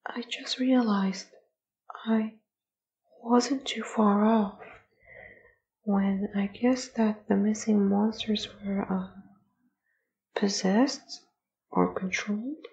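A woman's voice: medium pitch, steady tone, slow pace, gravelly, pauses often, a little mellow, muffled recording, slight background noise. A woman voicing a shy, gloomy ghost in an audio drama, hesitant and trailing off. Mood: fearful, faltering. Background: music.

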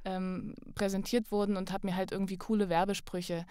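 A woman's voice: mid-range voice, level tone, fairly quick, warm tone, clean tone, no echo. A woman with a mid-range voice chats as a guest on a podcast. She tells personal stories in a casual, self-correcting way. The sound is dry, with very little room. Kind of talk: casual chat, telling personal anecdotes.

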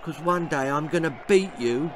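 A man's voice: fairly high pitch, moderate pace, a faint crowd, a little hiss or hum, pitched above the performer's own voice, lightly acted. An English man voicing a scrawny, defiant underdog schoolboy in a storybook, blunt and protesting.

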